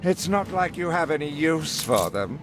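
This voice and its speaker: An actor playing a cruel, greedy uncle in a video game, with a haughty, drawling delivery. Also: male voice, on the high side, big pitch swings, moderate pace, over music. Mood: contemptuous, impatient. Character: cruel, greedy, sneering uncle, a wealthy villain.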